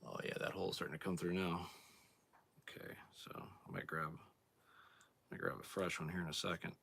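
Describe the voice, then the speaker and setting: Deep-voiced man host, hoarse, laid-back workshop demo. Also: fairly quick. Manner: relaxed, plain-spoken, thinking aloud while working. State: hoarse, clearing throat. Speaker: outdoors channel host. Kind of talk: casual hands-on demonstration.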